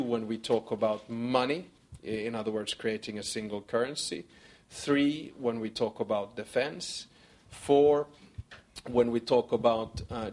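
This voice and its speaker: A man on a conference panel lays out his argument point by point. His voice sits at a middle pitch, and his manner is measured and methodical. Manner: measured, counts off points one by one. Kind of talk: answering audience questions at a conference.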